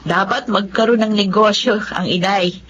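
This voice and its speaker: A woman's voice: mid-range voice, moderate pace, a bit bright, slight background noise, natural voice. A Filipino woman acting in a radio drama as a determined, dutiful young eldest daughter working abroad. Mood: earnest, reassuring. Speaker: radio drama actress and narrator. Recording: dull lo-fi radio drama, music bed. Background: background music.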